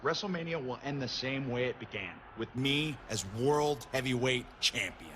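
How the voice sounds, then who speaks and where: A man's voice: medium pitch, normal speed, natural voice. A man playing a smug, condescending villain champion wrestler in a video game cutscene. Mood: smug, dismissive. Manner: condescending, self-assured. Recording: clear noise behind the voice.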